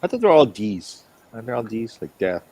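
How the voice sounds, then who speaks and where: A man's voice: mid-range voice, very animated, fairly quick, a little mellow. A man chatting casually in a tentative way, often checking with questions. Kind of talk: casual chat.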